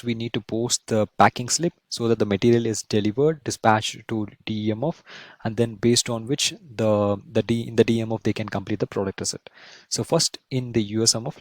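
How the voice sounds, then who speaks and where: Low-voiced man giving a software tutorial, dry sound. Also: steady tone, moderate pace, dry recording. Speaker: software instructor. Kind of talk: screen-share tutorial, explaining step by step.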